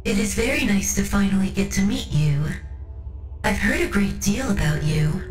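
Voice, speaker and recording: A woman playing a composed, coolly superior tech priest of a machine cult in a scripted ASMR roleplay, speaking formally and deliberately to the listener with faint condescension. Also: medium pitch, far from the mic, natural voice. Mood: formal, welcoming. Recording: roomy echo over background music.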